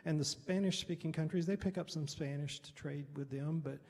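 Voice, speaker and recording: A middle-aged American man, a pastor, telling personal stories in an informal, halting teaching style, often clearing his throat, with a husky, gravelly voice. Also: on the high side, a little mellow. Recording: long hall reverb.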